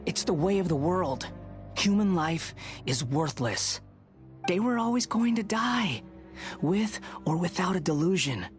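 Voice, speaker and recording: A man's voice: fairly high pitch, lightly acted. An actor playing a smug young male villain in a video game, mocking, theatrical and condescending. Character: smug, sneering young male villain, a high-ranking harbinger. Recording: music behind.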